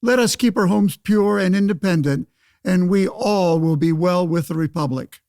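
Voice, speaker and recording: Man playing a pompous, high-pitched old-time senator. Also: moderate pace, a little mellow, no echo. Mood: grandiose, preachy. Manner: pompous, declamatory, old-fashioned formal phrasing. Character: pompous windbag state senator of 1911, self-righteous orator. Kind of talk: pseudo-radio play interview and oratory.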